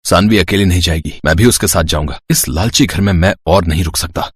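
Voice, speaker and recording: A man's voice: low-pitched, a little fast, a little hiss or hum, lightly acted. A man voicing a devoted, tender young husband in cartoon storybook dialogue, earnest and reassuring. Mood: resolute.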